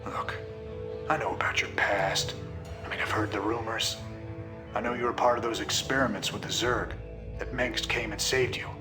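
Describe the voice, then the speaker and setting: A man's voice: lowish voice, fairly even intonation, normal speed, pauses often, over music, close to an everyday voice. A man playing a principled, loyal rebel captain in a game, informal, sardonic and plain-spoken. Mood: concerned. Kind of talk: dramatic game acting.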